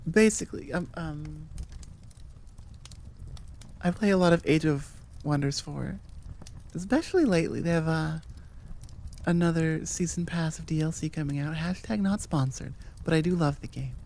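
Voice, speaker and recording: Casual, rambling woman creator, lowish voice. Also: moderate pace, pauses often, darkish tone. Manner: informal, rambling, self-amused. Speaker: audio roleplay creator. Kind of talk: casual outro chat to listeners.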